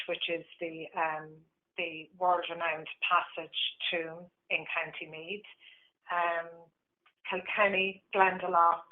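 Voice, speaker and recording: An Irish woman in her forties presenting her tour business, with frequent ums and restarts. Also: lowish voice. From Ireland. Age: 40s. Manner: warm, hesitant with frequent ums. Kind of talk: webinar presentation. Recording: tinny phone-like line.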